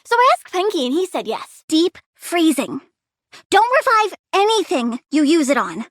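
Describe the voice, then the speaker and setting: A woman's voice: extremely high pitch, sing-song, normal speed, fairly bright tone, no room sound, clean studio sound, full character voice. A woman voicing a volatile young plant-powered girl villain in a video game, girlish, bratty and blunt, with gleeful menace. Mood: matter-of-fact, eager. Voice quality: girlish, childlike. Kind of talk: video game character voice lines.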